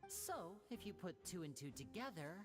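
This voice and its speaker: Polished showy young guy magician, lowish for her, lively game acting, music behind. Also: moderate pace. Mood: explaining, assured. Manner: polished showman explaining theatrically. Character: young male stage magician, poised, showy, courteous. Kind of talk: anime video game voice acting.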